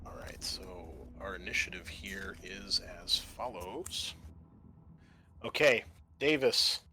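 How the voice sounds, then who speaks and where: A man's voice: low voice, lively intonation, takes it slowly, bright, forceful tone, a little hiss or hum. A man acting as game master, narrating a horror tabletop roleplaying game.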